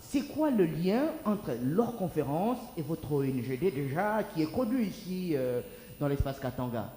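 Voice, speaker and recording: A man hosting a TV studio interview asks quick, pointed questions of his guests. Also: fairly high pitch, big pitch swings, dark tone, reverberant, long reverb tail, a little hiss or hum. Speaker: TV host. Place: TV studio set.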